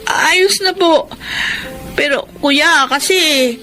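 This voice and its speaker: Faint music sits behind an anxious Filipino younger sister in a radio drama. Her voice is very high and she speaks slowly, hesitant and worried. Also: pushed and bright, heavily acted, rough recording. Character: Wendy, anxious young sister bearing bad news.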